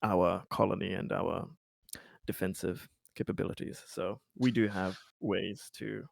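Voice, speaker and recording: Gravelly, halting man roleplaying at the table. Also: deep voice, steady tone, no echo, clean studio sound. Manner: halting, deliberate, somewhat formal phrasing. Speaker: tabletop game player. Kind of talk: improvised in-character roleplay talk.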